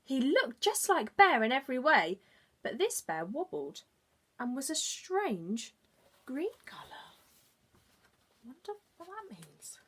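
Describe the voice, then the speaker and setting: A woman's voice: high voice, sing-song, slow, pauses often, a bit bright, breathy edge. A woman teacher reading a children's storybook expressively, adding explanatory asides for young listeners. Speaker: early years teacher reading aloud.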